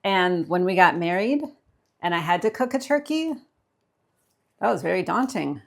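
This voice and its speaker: A woman's voice: on the low side, animated, normal speed, creaky. An American woman co-hosting a cooking show, chatting casually and conversationally as she explains things step by step. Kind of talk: casual chat while demonstrating cooking.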